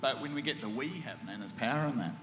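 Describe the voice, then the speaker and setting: A man with a big booming voice hosting a relaxed church panel chat, enthusiastic and affirming. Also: fast talker, noisy background. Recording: echoey hall with long reverb.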